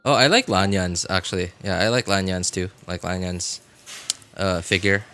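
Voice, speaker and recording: A man chatting casually as he streams a game, rambling and halting as he hunts for words in a self-deprecating way. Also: low voice, bright, forceful tone, slight background noise. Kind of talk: casual livestream chat while gaming.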